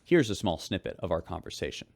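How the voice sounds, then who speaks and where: Deep-voiced, weary male space radio host. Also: big pitch swings, no room sound, full character voice. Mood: weary, concerned. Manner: measured, wry. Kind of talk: news narration in a sci-fi audio drama.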